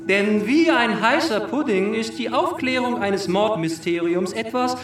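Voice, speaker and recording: Pompous high-voiced detective, very echoey. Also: male voice, measured pace, faint music behind. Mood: pompous, ceremonious. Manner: pompous, long-winded, ceremonious. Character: pompous male detective unveiling a murderer to the suspects. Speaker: actor playing a detective. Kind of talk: theatrical comic monologue before an audience. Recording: echoey hall, distant microphone.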